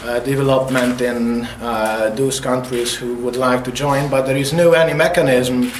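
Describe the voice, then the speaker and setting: A man's voice: medium pitch, fairly even intonation. Hungarian guy speaking english at a workshop, lots of uhs, echoey room, faint hum behind. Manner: hesitant, many filler pauses. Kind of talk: opening remarks at a workshop.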